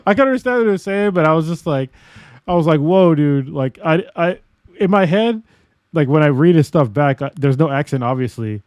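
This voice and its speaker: A man's voice: a little high, animated, fairly quick, a little mellow, clear, resonant tone, no echo. American man, podcast co-host, rambling storyteller, dry close sound. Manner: rambling, many "like" fillers, laughs often. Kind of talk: casual chat.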